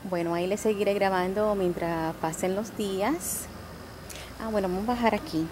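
A woman's voice: mid-range voice, moderate pace. A young woman from the Dominican Republic chatting casually through a camping vlog, upbeat and full of fillers, filmed on a handheld camera outdoors. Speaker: vlogger. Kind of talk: casual travel vlog narration. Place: outdoors at a campground and pool. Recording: loud ambient noise and crowd.

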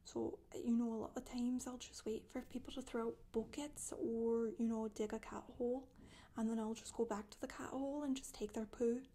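A woman playing a chatty, boastful witch in a soft-spoken ASMR monologue, rambling and gossipy, often slipping into a whisper. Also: fairly high pitch, little pitch movement, normal speed, lightly acted. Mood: weary, matter-of-fact. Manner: rambling, gossipy, casual asides. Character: Scottish witch woman confessing, matter-of-fact, chatty, boastful. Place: small indoor room, seen seated.

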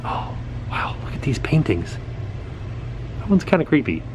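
Excited man touring a room on camera. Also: a little high, unhurried, background noise. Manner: excited, pointing things out. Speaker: vlogger. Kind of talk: casual walkthrough vlog. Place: indoor TV set replica, small room. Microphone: camera held at arm's length.

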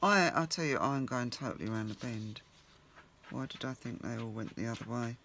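A man with a croaky voice, chatting casually to himself while he works at a home craft table as host of his crafting channel. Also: medium pitch, a little fast, noisy background.

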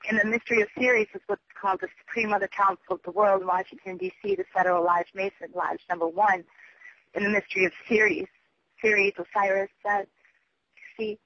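A person leaving a rambling, urgent voicemail over the phone, repetitive, emphatic and prone to swearing. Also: mid-range voice. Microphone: telephone. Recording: muffled, dull phone voicemail.

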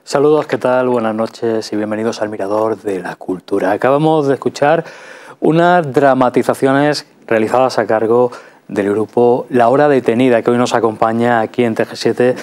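A man's voice: mid-range voice, moderate pace, darkish tone. A man with an accent from Spain, hosting a studio interview on a culture programme. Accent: Spanish (Spain). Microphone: lapel.

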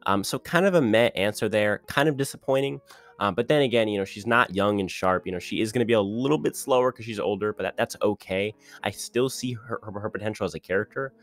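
A man giving opinionated, ranting commentary on a reality show contestant in a casual monologue. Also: on the low side. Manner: opinionated, ranting, quick with restarts.

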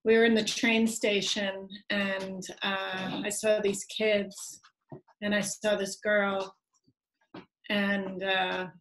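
A woman author talking softly and hesitantly about where her book came from, on a video call. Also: mid-range voice, level tone, reverberant, low-quality recording. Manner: slow, hesitant, soft-spoken. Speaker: author reading her work. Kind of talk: reflective talk in an online reading. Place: home room on a video call.

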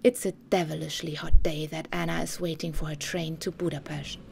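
Low, creaky-voiced woman narrating a story. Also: little pitch movement, moderate pace, a little mellow, a little hiss or hum. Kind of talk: scripted documentary story narration.

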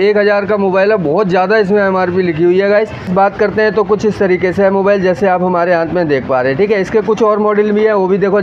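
A man in his thirties casually presenting a product to viewers, explaining it in a repetitive, salesman-like way. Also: sits high. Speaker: host of a phone unboxing channel. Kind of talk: casual product demonstration to camera. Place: small mobile phone shop, indoors. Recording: muffled lo-fi audio with background noise.

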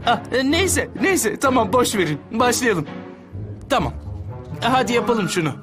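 Determined teen boy, friendly, high-pitched, open manner, anime dub, faint music. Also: big pitch swings, a little slow, exaggerated voice. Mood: determined, eager. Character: earnest, determined, friendly teenage boy hero. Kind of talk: dubbed anime acting.

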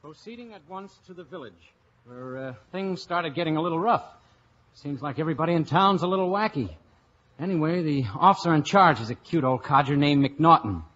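A breezy young investigator with dry wit in a 1950s radio comedy. His voice is fairly high and husky, on a slightly muffled old recording. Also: fairly quick, a bit bright. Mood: wry, breezy. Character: breezy, wry young male investigator posing as painter. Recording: muffled vintage radio broadcast.

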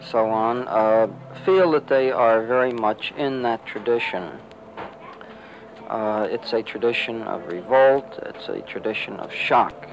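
A man giving thoughtful interview answers in a measured, hesitant, intellectual manner. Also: mid-range voice, low-quality recording. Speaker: newspaper publisher and psychologist.

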